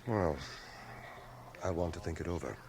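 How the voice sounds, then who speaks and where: An actor playing a reflective, wry older bookish man narrating his life in a radio drama, in a measured literary manner. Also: sits low, a little mellow. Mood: hesitant. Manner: literary, measured, dryly ironic. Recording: 1980 radio drama home cassette transfer, music behind.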